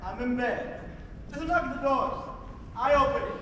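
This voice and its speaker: A very high male voice from a student actor plays a brash, womanizing jazz musician in a stage play. He is a showy storyteller, heard from afar in an echoing hall with clear noise. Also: moderate pace, pushed and bright. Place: school theatre stage, distant microphone. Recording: echoey noisy theatre hall from far off.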